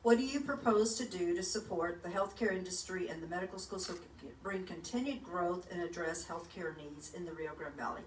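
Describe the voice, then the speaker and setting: A woman moderating a candidate forum, reading questions in a formal, clear way. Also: lowish voice, a little fast, few pauses. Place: large hall stage. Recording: echoey hall from far off, faint hum.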